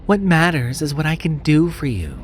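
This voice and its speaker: A man's voice: on the high side, very animated, moderate pace, a little hiss or hum, pitched above the performer's own voice. A man voicing a shapeshifting creature posing as a seductive, cruel woman in a horror story, coy and taunting.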